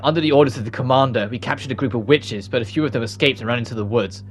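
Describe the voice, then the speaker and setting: A man's voice: mid-range voice, racing. Man reading game story aloud over music. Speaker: let's play host reading game dialogue aloud. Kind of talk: reading visual novel dialogue aloud with casual commentary.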